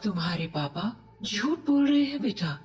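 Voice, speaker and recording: A woman playing a dead mother's ghost in a horror audio drama, gently coaxing and pleading with her young son. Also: mid-range voice, very animated, recorded from a distance. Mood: tender, coaxing. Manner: gentle, slow, pleading persuasion.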